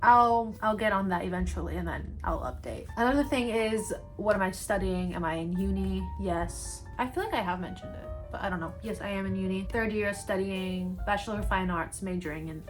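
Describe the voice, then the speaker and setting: Twenty-something woman, Brazilian accent with an American lilt, relaxed rambling chat-style delivery, mid-pitched. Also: brisk, hardly any pauses, faint music behind. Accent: Brazilian with American-learned English. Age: 20s. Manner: chatty, rambling, slang-heavy. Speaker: book vlogger. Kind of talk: casual sit-down Q&A chat.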